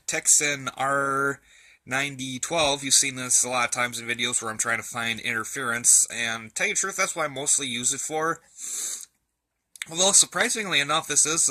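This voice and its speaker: A man's voice: mid-range voice, bright, forceful tone. A man giving a casual, rambling show-and-tell indoors, thinking aloud in a folksy, colloquial way. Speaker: hobbyist presenter. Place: indoors, in a room at home.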